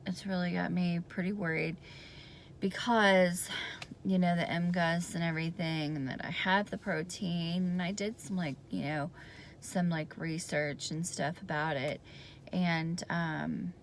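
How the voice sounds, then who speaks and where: A woman giving a casual video diary update in a hoarse, raspy voice, hesitant and rambling with lots of fillers. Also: lowish voice, a little hiss or hum. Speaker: vlogger giving a health update.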